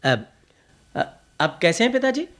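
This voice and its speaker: An actor playing a genial, reassuring family doctor in a radio drama, with a husky voice. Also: male voice, very high voice, sing-song, a little slow, a bit bright, full character voice. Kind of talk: Hindi radio drama acting.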